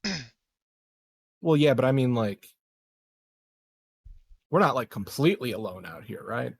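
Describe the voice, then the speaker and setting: A man playing a tabletop game, planning casually in a tentative, halting way with repeated words. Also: medium pitch, sing-song, creaky, husky.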